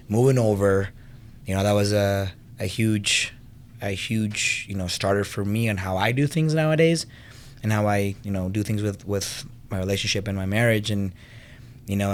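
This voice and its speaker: A man's voice: lowish voice, steady tone, moderate pace. A man in his thirties from Venezuela, a podcast guest telling his own story in a casual, conversational way, often saying "you know". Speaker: podcast guest, fitness business owner and trainer.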